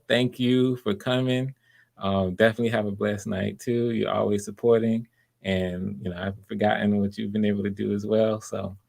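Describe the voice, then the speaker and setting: A man's voice: fairly low pitch, gravelly, a little mellow. A man chatting casually and encouragingly as he hosts online auditions. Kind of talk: casual livestream chat.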